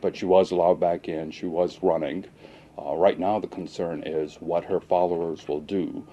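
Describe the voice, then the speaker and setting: A man speaking as a police lieutenant interviewed on the news, answering in a measured, cautious, hesitant way. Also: deep voice, steady tone, warm tone, highs cut off. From Pakistan, moved to the US at age seven.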